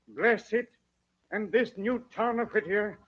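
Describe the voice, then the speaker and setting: A male actor playing a devout Quaker town leader in solemn prayer, in slow, reverent, archaic phrasing, in 1940s radio drama style. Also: sits high. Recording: dull lo-fi old radio broadcast.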